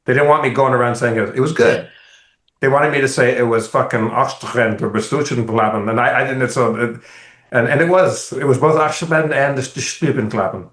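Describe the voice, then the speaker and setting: Brief: male podcast co-host, mid-pitched, animated and profane storyteller, echoey room. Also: fairly quick. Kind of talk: casual chat, telling a travel story. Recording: roomy echo.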